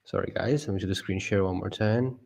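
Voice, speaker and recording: A man's voice: deep voice, fairly quick, gravelly, a little mellow. A man co-hosting a live stream, frustrated and muttering to himself while sorting out tech trouble. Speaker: stream co-host handling the tech. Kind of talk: muttering asides.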